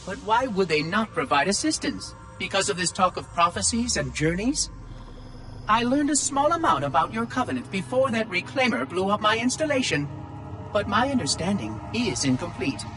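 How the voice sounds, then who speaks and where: A man voicing a prim, fretful ancient machine intelligence in a video game cutscene, over a loud score. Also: high-pitched, sing-song, normal speed, faint music behind, heavily acted, rough recording.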